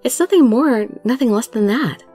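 A woman acts out a feisty young heroine of a romance tale. Her voice rises high and swings animatedly, emphatic and indignant, with hurt under the exasperation. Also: expressive, moderate pace, mellow, slightly rough, faint music behind. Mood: exasperated. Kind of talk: dramatic romance story reading.

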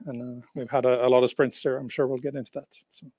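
A man's voice: mid-range voice, little pitch movement, fast talker, a little mellow, breathy edge. A middle-aged man from Norway, a panel guest, talking in a casual, rambling way full of fillers into a handheld mic. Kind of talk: panel conversation. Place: meeting room.

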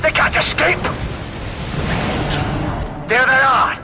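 A man acting a fierce, belligerent soldier in a video game, shouting urgent, aggressive battle lines. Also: very high voice, slow, music in the background, heavily acted.

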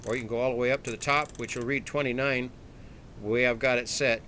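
A man giving a casual, unhurried hands-on repair walkthrough, thinking aloud in a folksy way like a hobbyist at his bench. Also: medium pitch, steady tone, sharp tone, slight background noise. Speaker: electronics hobbyist demonstrating a radio repair. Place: small indoor electronics workbench.

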